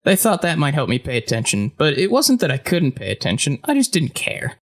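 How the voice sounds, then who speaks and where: A man's voice: a little high, huge pitch swings, brisk, heavily acted. A man playing a talkative, theatrical fight-ring boss with a morbid streak in an audio drama. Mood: breezy, morbid.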